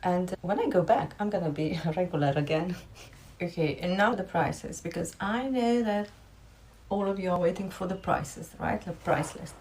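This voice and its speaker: A woman's voice: lowish voice, moderate pace, a little mellow, a little hiss or hum, rough recording. A young woman from Romania, a content creator, talking candidly and directly to her viewers in a casual vlog.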